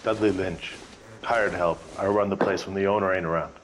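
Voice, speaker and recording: An actor playing a guarded, world-weary bartender who answers a detective in short, wry lines. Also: male voice, low voice, steady tone, normal speed, noisy background, close to an everyday voice.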